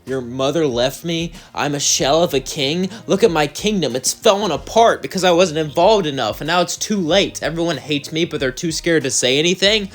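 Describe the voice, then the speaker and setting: A man's voice: fairly high pitch, unhurried, few pauses, pitched above the performer's own voice, natural voice. A man voicing a lonely, depressed demon king, an awkward and flustered father. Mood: despairing. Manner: flustered, rambling, self-pitying.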